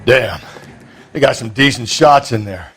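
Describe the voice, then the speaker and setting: An actor playing a blunt detective partner who talks in coarse cop slang. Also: male voice, mid-range voice, expressive, measured pace, over music, close to an everyday voice. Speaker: police detective.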